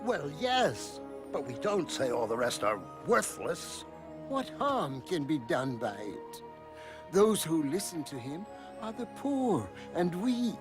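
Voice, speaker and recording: An actor playing a mild, calmly dismissive clan elder in an animated film. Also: male voice, fairly high pitch, takes it slowly. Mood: placating. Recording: music behind the voice.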